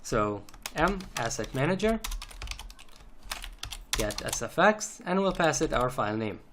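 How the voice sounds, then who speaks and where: A man narrates a programming screencast at a slow, halting pace, going methodically step by step. His pitch is middling for a man. The recording has a faint noise behind him, with keyboard typing and clicks. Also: big pitch swings. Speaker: programming tutorial instructor. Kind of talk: unscripted screencast tutorial narration. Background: computer keyboard typing and clicks.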